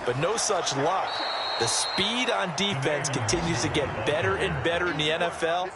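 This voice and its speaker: A man's voice: a little high, normal speed, lightly acted. A man playing an opinionated football analyst in a video game, explaining things in a conversational broadcast style. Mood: analytical. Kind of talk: sports analysis commentary. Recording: music behind the voice.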